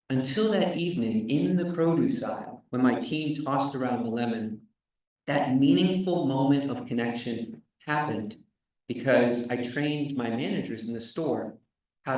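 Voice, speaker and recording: Man founder pitching, earnest, mid-pitched voice, roomy echo. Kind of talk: prepared pitch speech. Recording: echoey hall, distant mic.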